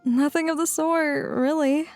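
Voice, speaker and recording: A woman's voice: high-pitched, fairly even intonation, measured pace, darkish tone, dry recording, soft background music. A woman playing a guarded young hotel maid. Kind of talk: audio drama acting.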